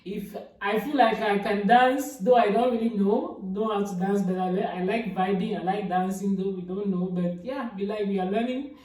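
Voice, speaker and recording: A woman's voice: moderate pace, a little mellow. A young woman chatting casually about herself for her vlog, with a big voice and lots of fillers and restarts. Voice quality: big, deep-sounding voice. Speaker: YouTuber. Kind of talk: casual get-to-know-me vlog. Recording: echoey room, voice from a distance.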